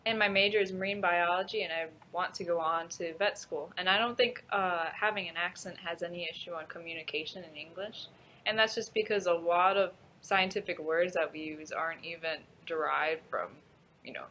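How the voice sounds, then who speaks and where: A woman's voice: lowish voice, fairly even intonation, moderate pace, sharp tone, a little hiss or hum, poor audio. A young woman, an undergraduate student, answering an interview question casually with lots of fillers. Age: young adult. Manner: casual, filler-heavy, likes.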